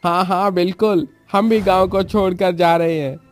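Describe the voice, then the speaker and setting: Man voicing an agitated villager, pitched up high. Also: fairly even intonation, normal speed, soft background music, natural voice. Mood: fearful, resigned. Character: frightened, agitated male villagers. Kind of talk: animated story acting.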